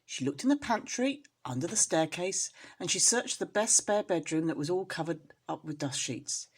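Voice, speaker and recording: Expressive woman storyteller with a husky voice. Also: fairly low pitch, normal speed. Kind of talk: expressive children's story reading.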